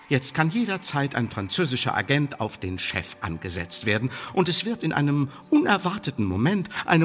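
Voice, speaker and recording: A male actor playing an imperious secret service interrogator in a German radio play, pompous and sardonic, with a husky voice. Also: medium pitch, a bit bright. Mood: coldly threatening. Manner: pompous officialese mixed with sardonic colloquial jabs. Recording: reverberant hall-like radio drama room.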